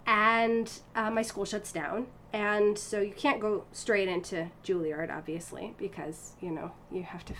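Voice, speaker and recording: A woman's voice: mid-range voice, a little slow, hardly any pauses, a little hiss or hum. A woman in her thirties from the United States, telling her story in a casual interview with many fillers, restarts and laughs. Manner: animated, frequent fillers and restarts, laughs often. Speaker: interview guest, violinist.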